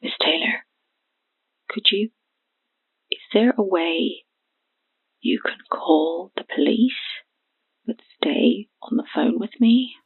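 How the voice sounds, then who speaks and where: Frightened young woman, slow halting speech, thin phone line, desperate, audio horror drama. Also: medium pitch, full character voice. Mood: terrified, pleading. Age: 20s. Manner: halting, hushed, breathy delivery. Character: terrified young woman witness, haunted and desperate. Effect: telephone filter.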